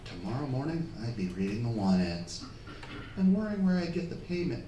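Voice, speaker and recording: A wry young sweetheart played by a man in an old-time radio play, his voice swinging in pitch as he grumbles and woos. He is heard from far off in an echoey hall. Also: sing-song, normal speed, mellow, close to an everyday voice. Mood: wry, grumbling. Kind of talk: old-time radio drama acting on stage. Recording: distant, echoey hall stage recording, faint noise.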